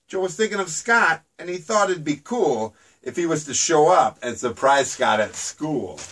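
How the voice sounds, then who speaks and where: A man's voice: fairly high pitch, fairly bright tone, far from the mic. A man reading his own rhyming children's book aloud in a sing-song storybook manner.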